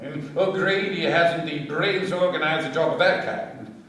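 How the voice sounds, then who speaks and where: A man playing a shrewd, composed detective inspector in a radio play, speaking with brisk, measured authority. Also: fairly high pitch, a bit bright, close to an everyday voice. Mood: measured, knowing. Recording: very echoey, distant from microphone.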